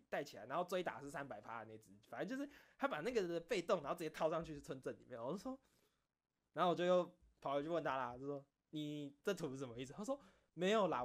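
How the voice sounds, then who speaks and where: A man with a Taiwanese accent chatting casually as an online creator, telling anecdotes animatedly, acting out both sides of exchanges and venting self-critically. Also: on the high side. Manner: animated storytelling, acting out back-and-forth exchanges. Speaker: video creator and streamer.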